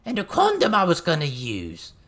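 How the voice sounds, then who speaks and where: A man voicing a small, scruffy female goblin with a simple, drawn-out way of talking, for an audiobook. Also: fairly high pitch, huge pitch swings, a bit bright, voice pushed up, full character voice. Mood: timid, offering.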